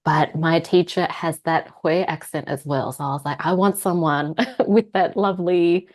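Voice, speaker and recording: A woman's voice: sits low, fairly even intonation, normal speed, darkish tone. A young woman guest on a podcast, talking casually with frequent laughs and hesitant fillers. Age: young adult. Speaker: guest psychologist. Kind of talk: casual interview chat.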